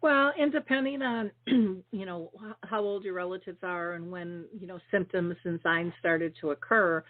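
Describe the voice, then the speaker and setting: A woman hosting an interview show, chatty and filling pauses with "um" and "you know". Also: on the low side. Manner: chatty, full of fillers and warm thanks. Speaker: podcast host. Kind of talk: casual interview chat. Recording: phone-like video call audio.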